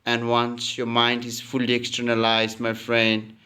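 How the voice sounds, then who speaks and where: A man guides a relaxation practice in a very smooth, resonant voice. His pitch barely moves, staying steady and monotone. He delivers calm instructions at an unhurried, slow pace, often repeating a phrase. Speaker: yoga nidra teacher.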